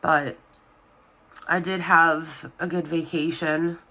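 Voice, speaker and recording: A woman's voice: flat delivery, slow, bright, forceful tone, a little hiss or hum, rough recording. A young adult woman with a Southern US accent, sick and nasal, chatting in a subdued, rambling way about her planner. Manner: subdued, low-energy, rambling. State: sick with a stuffy nose, sniffling. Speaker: planner vlogger. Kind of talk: casual chat.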